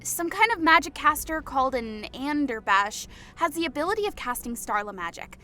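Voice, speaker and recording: A woman's voice: high-pitched, big pitch swings, bright, forceful tone, soft background music, exaggerated voice. A woman voicing an impatient, snappish female officer giving reports in an audiobook. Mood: brisk. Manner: brisk, snappish. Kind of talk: audiobook dialogue reading.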